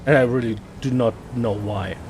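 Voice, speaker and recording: A man from Germany with a German accent, chatting casually while playing a game, often repeating words and pausing with fillers. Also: mid-range voice, noisy background. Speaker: gaming streamer. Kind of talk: casual gameplay chat.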